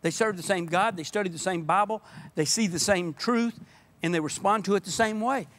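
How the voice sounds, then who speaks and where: Older man, high-pitched, passionate and emphatic, guest in a church podcast chat. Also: a little fast, a bit bright. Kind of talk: earnest conversation. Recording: podcast audio.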